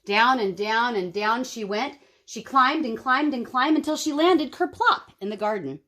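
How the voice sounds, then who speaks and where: A woman storyteller reading a picture book aloud to children in an expressive, dramatic and emphatic way. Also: a little high, measured pace.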